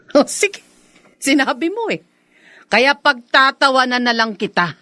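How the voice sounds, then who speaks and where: An actress playing a defiant, scornful wife in a Filipino radio drama, cool and mocking in manner, with a Filipino accent. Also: female voice, high voice, bright, forceful tone, no room sound, full character voice. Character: defiant, scornful second wife accused of infidelity.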